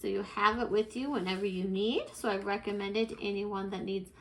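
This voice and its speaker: A woman's voice: mid-range voice, fairly even intonation, a little fast, a little hiss or hum, rough recording. A woman giving a casual product review, explaining things plainly step by step.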